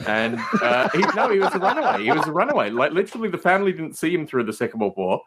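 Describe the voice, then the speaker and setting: A man's voice: fairly high pitch, very animated, quick pace, heavy background noise. A man chatting casually as a podcast guest, telling stories in a jokey, digressive way. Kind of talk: casual storytelling chat.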